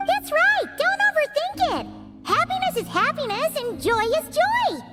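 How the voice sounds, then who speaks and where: Tiny chirpy game fairy, super high squeaky voice, swooping all over the place. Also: female voice, big pitch swings, measured pace, in a large hall, full character voice. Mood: excited, chirpy. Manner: chirpy, exclamatory, refers to self in third person. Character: small, bubbly, childlike floating fairy companion. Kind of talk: animated video game voice acting. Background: music.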